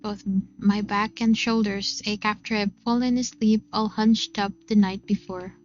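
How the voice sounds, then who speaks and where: A woman reading a visual novel aloud in an expressive, unhurried first-person narration. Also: mid-range voice, dull audio. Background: game music.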